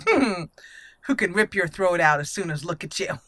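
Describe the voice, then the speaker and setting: A woman with a slightly low voice hosts a gameplay video. She comments casually and tells the story of her roleplay as she goes. She thinks aloud, hesitating between phrases. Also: lively intonation, a little slow, fairly bright tone. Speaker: gameplay host.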